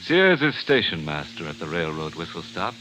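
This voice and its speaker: A man's voice: low-pitched, sing-song, sharp tone, slight background noise, full character voice. A male actor playing a ghoulish, mocking horror host in an old radio mystery, theatrical and sardonic. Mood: ominous, morbid. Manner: sardonic, theatrical, drawn-out delivery with morbid glee. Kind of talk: 1940s radio mystery acting. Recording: old radio broadcast recording.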